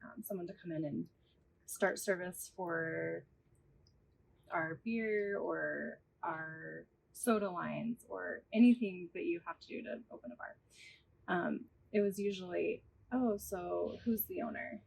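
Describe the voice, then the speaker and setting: A woman with a gravelly, creaky voice talking candidly in a casual chat. She is matter-of-fact about her work as a bar owner. There is a faint hiss of background noise behind her. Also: on the low side, measured pace, rough recording.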